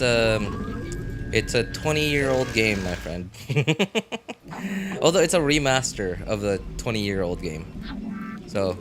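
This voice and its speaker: A man chatting casually as a game streamer on a livestream, rambling and often searching for words. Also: fairly low pitch. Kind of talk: casual livestream chat while gaming. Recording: game audio behind voice. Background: game music and sound.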